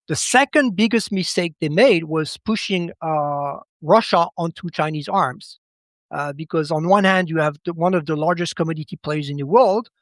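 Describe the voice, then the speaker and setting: A fairly high-voiced man who speaks as a gives his analysis as a podcast guest. He talks haltingly, doubling back on words as he looks for the right phrase. The sound is dry and close. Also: no room sound. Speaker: podcast guest, macro commentator. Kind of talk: conversational analysis.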